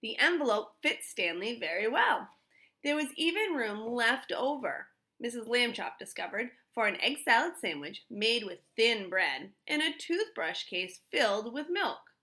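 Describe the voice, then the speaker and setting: Lady reading a kids book out loud, bright highish voice, really animated. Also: a little slow. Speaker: storybook reader. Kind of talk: expressive children's read-aloud.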